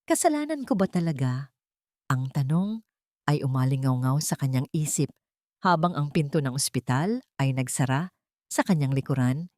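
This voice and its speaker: A woman's voice: rumbling low, normal speed, darkish tone, no echo, clean studio sound. A woman storyteller reading a scripted, dramatic story narration.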